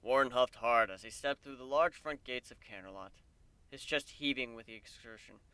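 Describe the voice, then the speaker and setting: A man's voice: medium pitch, moderate pace, sharp tone, smooth, dry recording. A man narrating a scripted fantasy story as a dramatic reading.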